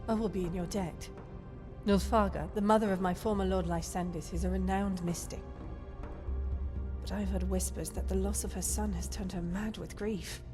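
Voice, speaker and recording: A woman's voice: medium pitch, fairly even intonation, normal speed, dark tone, close to an everyday voice. Woman playing a polite formal sorceress from a fantasy game, earnest, composed, music behind. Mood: earnest, solemn. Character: courteous, composed exiled court sorceress seeking help. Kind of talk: scripted video game dialogue.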